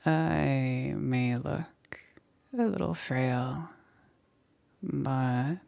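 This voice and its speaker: A woman playing a soft-spoken, coaxing, quietly menacing witch in an ASMR roleplay, often dropping into a breathy, hesitant whisper. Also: very deep voice, exaggerated voice. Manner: slow, hesitant, softly cooing.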